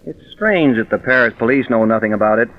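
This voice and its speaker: A man playing a shrewd, controlled prison warden with a measured, clipped manner in an old-time radio crime drama. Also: medium pitch, natural voice. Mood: probing. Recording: muffled vintage radio recording.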